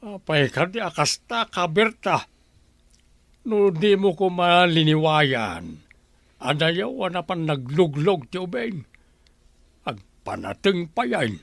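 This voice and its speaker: A man with an Ilocano accent playing a protective old grandfather in an audio drama, speaking slowly and deliberately. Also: on the high side, big pitch swings, gravelly, a bit bright, no echo, exaggerated voice. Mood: reproachful. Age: older. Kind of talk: radio drama acting.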